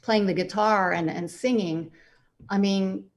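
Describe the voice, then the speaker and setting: A woman's voice: mid-range voice, steady tone, measured pace. A woman jazz singer being interviewed on the radio, talking in a hesitant, thoughtful way with many fillers and restarts.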